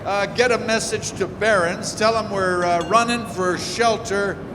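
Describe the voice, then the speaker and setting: Man acting ship's captain, steady, commanding, high for a man, radio play, roomy reverb, background noise. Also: unhurried. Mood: concerned, commanding. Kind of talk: stage radio drama acting. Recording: echoey hall, clear background noise.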